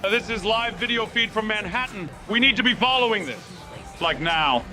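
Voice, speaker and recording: An actor playing an earnest, brainy and anxious scientist in a motion comic, talking in precise technical terms. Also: male voice, sits high, lively intonation, moderate pace. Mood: alarmed. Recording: loud music behind.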